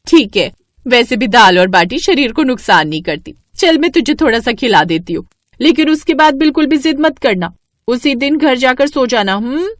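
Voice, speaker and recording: A woman's voice: high voice, animated, normal speed, no echo, studio quality. A woman voicing a kind, frank mother who is a customer in a moral story. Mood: indulgent, firm.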